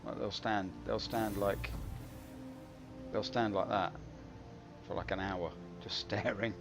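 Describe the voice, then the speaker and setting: A man's voice: on the low side, steady tone, takes it slowly. A man streaming live gameplay, grumbling wryly and poking fun at himself as he plays. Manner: grumbling, wry and self-mocking. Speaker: game streamer. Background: game music.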